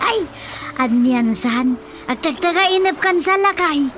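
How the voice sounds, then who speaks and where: A woman's voice: extremely high pitch, sing-song, slow pace, a bit bright, soft background music, heavily acted. A woman playing an exasperated, questioning wife in an Ilocano radio comedy-drama, full of doubtful exclamations. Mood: incredulous. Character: old man's skeptical, questioning, exasperated wife.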